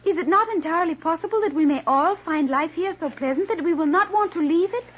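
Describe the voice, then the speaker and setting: A woman's voice: very high voice, fast talker. An actress playing an earnest, solemn girl with formal, precise diction in an old-time radio serial. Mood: solemn, worried. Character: earnest, solemn girl raised apart from the world. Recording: muffled vintage radio recording.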